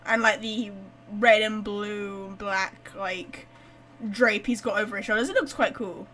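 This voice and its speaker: A woman's voice: mid-range voice, unhurried, sharp tone. A woman giving casual, playful, reactive commentary while playing a game. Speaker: game streamer.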